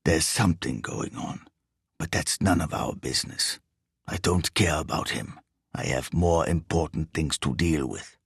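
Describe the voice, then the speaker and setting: A man voicing a menacing foreign burglar with a foreign accent, talking in a low, curt, impatient whisper. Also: expressive, measured pace, vocal fry, breathy edge, no room sound, studio quality, heavily acted. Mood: hushed, curt. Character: menacing foreign male burglar, bass-voiced, assertive.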